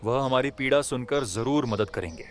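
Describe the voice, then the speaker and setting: A man voicing a kind, humble gentleman in a cartoon fable. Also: medium pitch, moderate pace, natural voice. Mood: sympathetic. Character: kind, humble village gentleman who helps animals.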